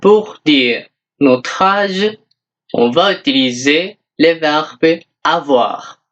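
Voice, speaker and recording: A language teacher speaks at an extremely slow, careful pace, in a medium-pitched voice. The delivery is scripted and instructional, made for beginners, with example phrases said and then repeated. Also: a bit bright, highs cut off.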